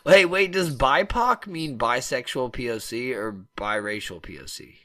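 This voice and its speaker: A man's voice: medium pitch, lively intonation, a little slow, a bit bright, no room sound, clean studio sound. A man co-hosting a comedy podcast, trading crude, joking banter and riffing on absurd ideas. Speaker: podcast co-host.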